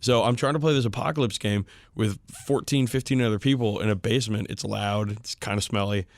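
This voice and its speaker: A man hosting a casual wargaming chat podcast, speaking informally and with some swearing, his voice hoarse and worn out. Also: fairly low pitch, steady tone, fairly quick, no room sound. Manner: informal, profane. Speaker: podcast host.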